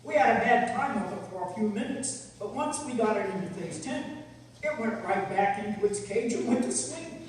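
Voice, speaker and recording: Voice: medium pitch, expressive, normal speed, crowd noise, lightly acted. Radio crime play: performer as obliging circus boss, talkative, heard off-mic in a very roomy hall. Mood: helpful. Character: helpful, chatty male circus owner. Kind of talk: old-time radio crime drama acting. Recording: echoey hall, far from mic, faint noise.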